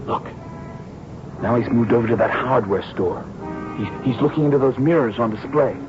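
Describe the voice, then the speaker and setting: An actor playing an earnest, observant police sergeant, a deferential sidekick in a radio drama. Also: male voice, medium pitch, normal speed, over music, lightly acted. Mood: watchful. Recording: dull old radio, noisy street effects.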